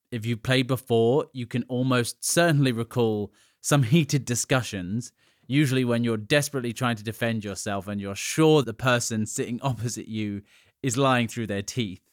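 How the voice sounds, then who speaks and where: A man's voice: on the low side, no echo, studio quality. A man from the UK hosting a podcast, talking casually with chatty asides and little jokes. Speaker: podcast host. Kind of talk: casual solo podcast monologue.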